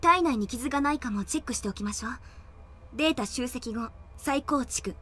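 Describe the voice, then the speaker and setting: A woman's voice: high voice, fairly quick, pushed and bright, slightly rough, soft background music, heavily acted. An actress playing a confident, reassuring female doctor-scientist in an anime audio drama, friendly, assured and casual. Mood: confident, brisk.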